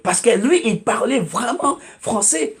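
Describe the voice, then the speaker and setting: A man's voice: very high voice, animated, unhurried, a bit bright. A man whose first language is English, speaking as a guest preacher on a talk show, emphatic and repetitive, with frequent hesitant "euh"s. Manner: emphatic, repeats phrases, many hesitant "euh"s.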